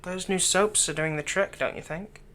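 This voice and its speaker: A man playing a doting, lightly teasing fantasy villain captor in an ASMR roleplay, speaking softly and tenderly, coaxing the listener without hurry. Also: high voice. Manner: soft, coaxing, unhurried, tender.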